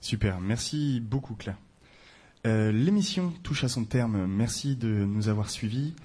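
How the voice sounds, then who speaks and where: Husky low-voiced man hosting local radio. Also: unhurried. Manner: relaxed, hesitant fillers, thanking guests. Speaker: radio show host.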